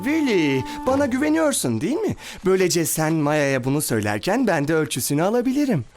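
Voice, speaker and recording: A man voicing a smooth-talking, scheming insect poet in a dubbed children's cartoon, ingratiating and theatrical. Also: on the high side, huge pitch swings, a little fast. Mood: sly, coaxing. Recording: loud music under the voice.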